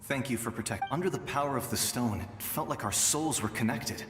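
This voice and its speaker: An actor voicing the gentle, protective ghost of a fallen warrior in a video game, calm, earnest and wistful. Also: male voice, mid-range voice, brisk, lightly acted. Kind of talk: English video game voice acting. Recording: long echoing reverb effect, music behind.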